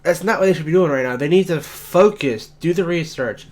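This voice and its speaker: Raspy young man ranting about games. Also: on the high side, brisk, a little mellow. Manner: blunt, ranting, swears often. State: raspy from just waking up. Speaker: gaming commentator. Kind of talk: casual solo commentary.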